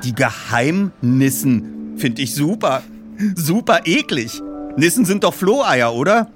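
A man voicing an enthusiastic, joking teenage boy detective in audiobook dialogue, talking in a lively, colloquial way. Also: fairly high pitch, takes it slowly, music in the background. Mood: cheeky, joking.

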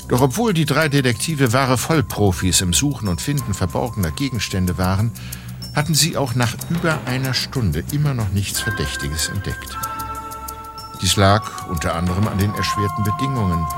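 A man playing the narrator of a detective audio drama, reading in a measured scripted style. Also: fairly low pitch. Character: measured male storyteller of detective story.

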